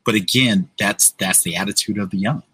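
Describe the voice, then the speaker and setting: An American man in his forties with a husky voice, telling his story casually as an interview guest, often checking in with the listener. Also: lowish voice, a little fast, pushed and bright, dry recording. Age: 40s.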